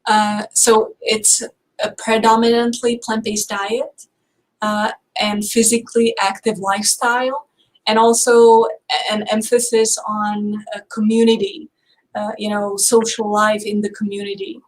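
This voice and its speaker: A woman from the Czech Republic with a Czech accent, a guest researcher answering interview questions in a measured way, with many fillers. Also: medium pitch, level tone, rough recording.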